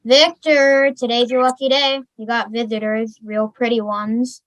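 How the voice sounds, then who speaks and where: A woman's voice: a little high, flat delivery, unhurried, dry recording, highs cut off, natural voice. A woman playing a stern, mocking asylum orderly in an amateur table read, clipped and commanding.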